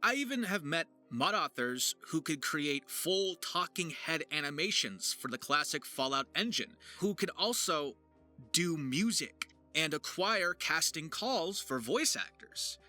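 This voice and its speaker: Bright, animated higher-pitched man. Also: a little slow. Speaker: video creator and voice actor. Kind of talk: explanatory vlog voice-over. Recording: music behind the voice. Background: music.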